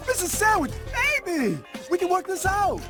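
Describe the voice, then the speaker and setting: A man voicing a cocky young fish hustler in a cartoon game, boastful, slangy and animated. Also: extremely high pitch, moderate pace, over loud music. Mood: protesting.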